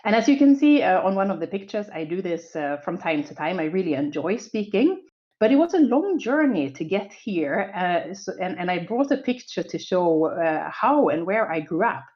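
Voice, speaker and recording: A Swedish-accented woman in her forties gives an online conference talk in a fairly low voice. She sounds upbeat and optimistic and tells her story with many small fillers. The audio is a bit dull and lo-fi, like a video call. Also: sing-song, moderate pace, darkish tone. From Sweden. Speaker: conference speaker.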